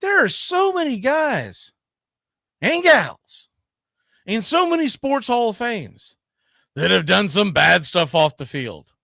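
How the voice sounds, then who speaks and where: A man with a high, lively voice that swings around as he talks sports. He hosts in a casual debating style, emphatic and full of rhetorical questions. The sound is dry and close. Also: takes it slowly, vocal fry, dry recording. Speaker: sports talk show host.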